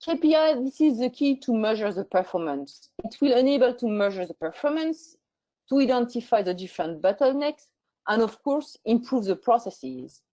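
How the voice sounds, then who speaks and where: A woman hosting a webinar, reading a measured presentation. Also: a little high, big pitch swings, warm tone. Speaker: webinar host. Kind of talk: scripted presentation. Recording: muffled lo-fi webinar audio.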